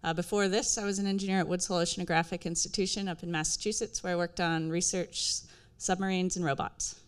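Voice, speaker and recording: Woman from Texas, quick and clear, lower pitch, matter-of-fact. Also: fairly even intonation. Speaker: astronaut candidate. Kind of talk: brief self-introduction.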